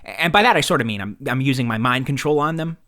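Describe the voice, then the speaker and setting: A man playing a timid young male alien troll in an audio drama, halting and stammering, eager to please and unsure of himself. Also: mid-range voice, lively intonation, sharp tone. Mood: hesitant, eager. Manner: halting, stammering, self-doubting. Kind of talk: audio drama monologue and dialogue.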